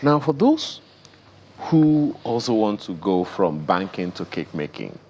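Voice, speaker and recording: Deep-voiced Nigerian man hosting TV. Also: sing-song, unhurried, a little mellow, dull audio, a little hiss or hum. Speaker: TV morning show host. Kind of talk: live television interview chat.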